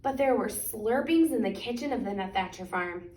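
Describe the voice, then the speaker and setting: A woman reads a picture book aloud for children in an expressive, deliberate way, stretching out key words. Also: medium pitch, a little hiss or hum. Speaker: children's story reader. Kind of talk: animated picture-book storytime reading. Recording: echoey room, distant microphone.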